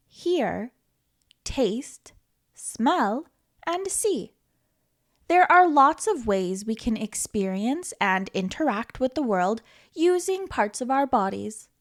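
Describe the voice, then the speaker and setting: A woman reading a children's book aloud as a storytime host, in an expressive, playful manner with questions to the listener and dramatic pauses. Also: a little high. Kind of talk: animated children's read-aloud.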